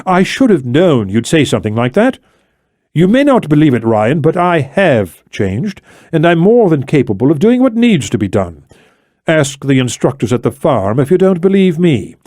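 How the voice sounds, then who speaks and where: A man's voice: on the high side, very animated, normal speed, darkish tone, dry recording, clean studio sound, voice pushed up. A man voicing a determined, defensive woman intelligence operative in an audiobook, speaking insistently and emphatically. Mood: indignant. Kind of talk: audiobook character dialogue.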